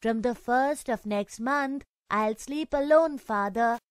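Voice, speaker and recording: Man voicing a young boy, pleading, anxious, high voice, slow, dry sound. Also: smooth, dry recording. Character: frightened pleading young schoolboy.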